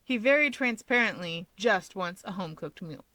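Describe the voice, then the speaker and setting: A woman reading scripted fiction aloud as an expressive story narrator. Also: mid-range voice, moderate pace, no echo.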